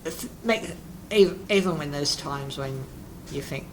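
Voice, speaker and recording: A man talking as a guest in a casual interview, hesitant and halting with frequent restarts. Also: on the high side. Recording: noisy background.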